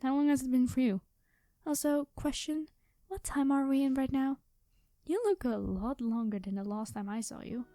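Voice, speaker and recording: A young female time traveler, acted by a woman, speaks in a high, soft-edged mellow voice. She is cheeky and secretive, chatting casually and conspiratorially as in an ASMR story roleplay. The sound is very dry. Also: steady tone, normal speed, no echo. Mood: friendly, reassuring.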